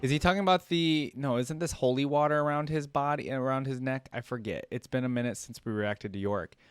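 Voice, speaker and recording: A man gives off-the-cuff reaction commentary in a dry recording. His voice is crisp and middle-pitched. He talks like a host chatting to viewers, slangy and thinking out loud. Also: brisk, no room sound. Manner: conversational, thinking aloud, slangy.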